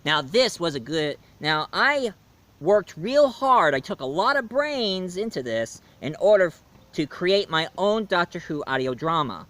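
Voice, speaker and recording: Male film reviewer, fairly high voice, lively casual storyteller who acts out scenes. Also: measured pace. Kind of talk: casual plot retelling.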